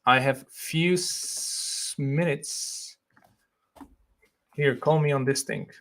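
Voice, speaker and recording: A young Slovak man with a Slovak accent, hosting a casual livestream, reading comments and thinking aloud in a relaxed way with frequent laughs. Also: mid-range voice, very slow, gravelly. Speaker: livestream host.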